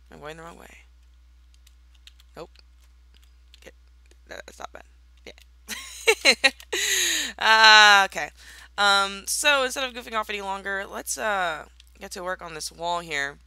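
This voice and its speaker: Very bright, playfully theatrical young woman. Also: on the low side, no room sound. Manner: playful, mock-villainous, theatrical. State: slightly raspy, just out of bed. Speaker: Minecraft let's player. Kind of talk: casual gameplay commentary.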